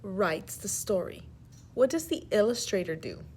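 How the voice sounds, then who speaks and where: A woman teacher reading a children's story aloud in an expressive, instructive way and asking pupils questions. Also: mid-range voice, unhurried, a little hiss or hum.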